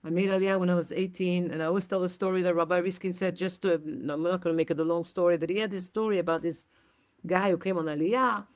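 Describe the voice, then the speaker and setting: A woman's voice: on the low side, fast talker. A middle-aged woman from Belgium who talks casually and tells stories with energy, acting out the people she quotes and often restarting her sentences. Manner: animated, acts out quoted dialogue, frequent restarts. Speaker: activist guest. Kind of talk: conversational storytelling.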